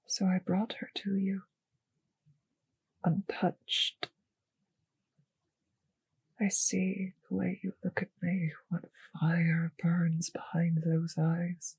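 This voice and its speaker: A woman playing a cruel, possessive vampire mistress in an intimate audio roleplay, speaking in a slow, teasing, condescending purr with breathy, whispered phrases. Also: fairly low pitch, completely flat delivery, darkish tone, no room sound, full character voice, poor audio. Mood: menacing, intimate. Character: cruel, possessive, seductive female vampire mistress toying with servant.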